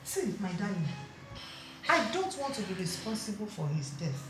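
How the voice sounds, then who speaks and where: Lady doing a bossy auntie, swoopy pitch, bit high, echoey room music behind. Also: expressive, fast talker. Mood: lecturing. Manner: brusque, mocking, then lecturing. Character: shrewd, scolding older aunt giving advice.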